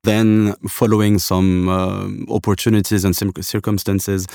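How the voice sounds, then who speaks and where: A man in his thirties from Algeria talks as a podcast guest with a very low voice. He thinks aloud, stopping and restarting often, and the close mic sounds dry with no room around it. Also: flat delivery, darkish tone, smooth, no room sound. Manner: reflective, halting, many restarts and fillers. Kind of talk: casual conversation.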